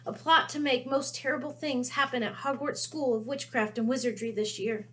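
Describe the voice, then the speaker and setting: A woman voicing a small, servile, fearful house elf with a squeaky, pleading voice in a storybook reading. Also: fairly even intonation, normal speed, a bit bright, low-quality recording. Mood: urgent warning. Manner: pleading, refers to self in third person.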